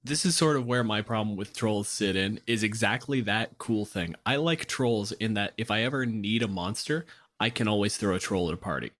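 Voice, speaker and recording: A man's voice: lowish voice, normal speed, a bit bright. A man from Canada co-hosting a podcast in a conversational way. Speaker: podcast co-host, physicist.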